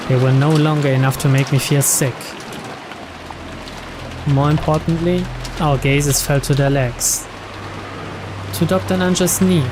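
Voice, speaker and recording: A man reading a story aloud as a dramatic scripted narration. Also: a little high. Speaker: narrator reading a visual novel's translated text aloud.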